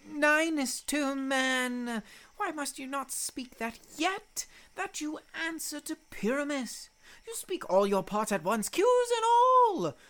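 A woman's voice: high voice, big pitch swings, slow pace, fairly bright tone, heavily acted. A woman playing an exasperated, bossy director of amateur players, emphatic and scolding, in a Shakespearean audio drama.

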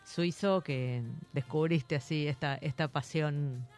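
A man's voice: a little high, takes it slowly, soft background music. A man with an Argentine accent hosting a radio interview, asking long, rambling questions full of fillers. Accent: Argentine Spanish.

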